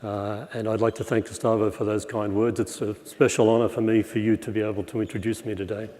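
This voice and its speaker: A croaky older man speaks from a podium in a large auditorium, his somewhat low voice trailing long reverb through the hall. He lectures in a relaxed, wry way, padding his sentences with "uh" and "um". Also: fast. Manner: relaxed, wry, uses many fillers. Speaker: plenary speaker. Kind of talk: conference lecture. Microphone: far room pickup, speaker at podium.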